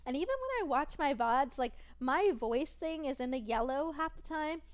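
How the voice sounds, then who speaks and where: A young woman streaming and chatting casually, rambling and giggling, with a naturally soft voice that is tired from long streaming. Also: high-pitched, expressive, normal speed. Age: young adult.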